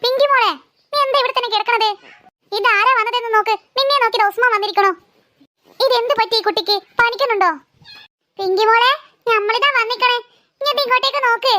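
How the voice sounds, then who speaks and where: A woman's voice: very high voice, fast talker, pauses often, sharp tone. A woman voicing a fussy, concerned mother in a children's cartoon, coaxing and nagging.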